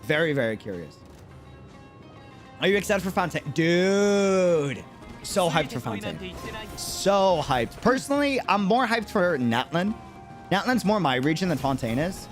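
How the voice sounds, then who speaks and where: Male streamer, fairly high voice with lively swings, chatting casually and doubling up phrases, music underneath. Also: moderate pace. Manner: animated, repeats phrases for emphasis. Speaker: streamer and content creator. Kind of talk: casual livestream chat answering viewers.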